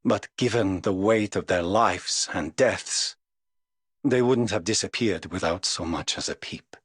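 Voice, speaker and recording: An English actor voicing a weary, aloof middle-aged knight in a game, speaking in a dry, measured, world-weary way. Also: male voice, low-pitched, breathy edge, no room sound. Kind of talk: game voice lines.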